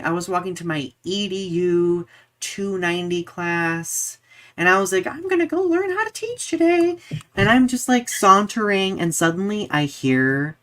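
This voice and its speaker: A young adult podcast co-host telling personal stories casually, with animated retellings and acted-out reactions. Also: medium pitch. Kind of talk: casual conversational storytelling.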